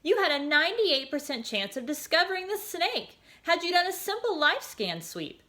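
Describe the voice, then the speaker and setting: A woman's voice: very high-pitched, big pitch swings, moderate pace, sharp tone, pitched above the performer's own voice, exaggerated voice. Woman doing a graceful old-movie caretaker robot. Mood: gentle.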